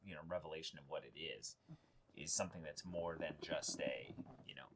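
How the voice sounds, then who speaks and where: A man's voice: extremely low pitch, a little fast, creaky, noisy background. A man hosting an anime reaction show, casually discussing theories in an emphatic way and repeating himself to make a point.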